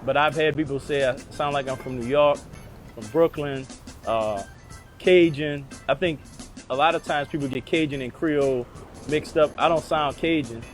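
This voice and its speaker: Calm man with a New Orleans accent. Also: on the high side, normal speed, faint music behind. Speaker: documentary interviewee.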